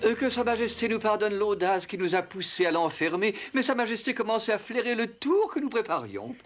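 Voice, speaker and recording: A man's voice: high voice, expressive, brisk, fairly bright tone, soft background music. A man voicing a fussy, obsequious royal courtier in a cartoon, with a pompous, flowery and deferential delivery. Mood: apologetic. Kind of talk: French animated series dubbing.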